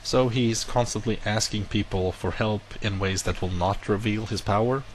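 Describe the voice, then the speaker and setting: Low-voiced man rambling through a story. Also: moderate pace, a little hiss or hum, poor audio. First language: not English. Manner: rambling, self-correcting, animated retelling. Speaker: vlogger. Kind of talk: casual monologue to camera.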